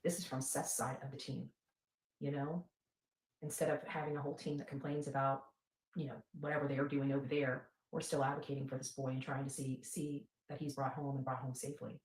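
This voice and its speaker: A low-pitched woman hosts a casual livestream in a roomy, echoing space. She rambles to her followers and repeats phrases for emphasis. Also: level tone, a little fast, darkish tone. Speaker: livestream host and advocate.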